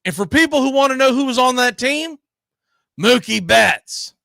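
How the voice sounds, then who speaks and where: A man with a high, forceful voice talks sports casually as a show host. He is argumentative and emphatic, leaning on pointed rhetorical questions. The sound is close and dry, with very little room in it. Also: animated, moderate pace, creaky, no echo. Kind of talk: casual opinionated sports discussion.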